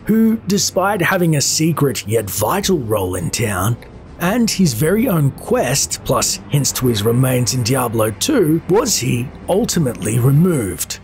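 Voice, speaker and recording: A man's voice: medium pitch, very animated, a little slow, a little mellow, soft background music. A man narrating a scripted lore video in a dramatic style with theatrical emphasis.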